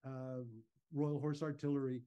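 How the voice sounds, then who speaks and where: A man giving an informal, measured, explanatory slide talk over a video call. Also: medium pitch, level tone, warm tone, clear, resonant tone, highs cut off. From Canada. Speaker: guest speaker, historical reenactor. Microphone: video call microphone.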